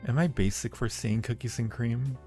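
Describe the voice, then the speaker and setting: A man's voice: medium pitch, fairly even intonation, moderate pace, dark tone, soft background music. A man hosting a live-stream Q&A, answering viewer questions casually with a playful, teasing and flirty manner. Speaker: audio roleplay creator hosting a live Q&A.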